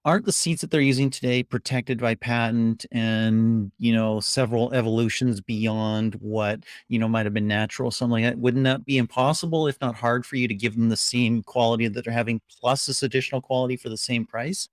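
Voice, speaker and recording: A man's voice: mid-range voice, fairly even intonation, fairly quick, few pauses, no echo, clean studio sound. A middle-aged man hosting a tech show, asking curious, conversational questions from his home office over a video call.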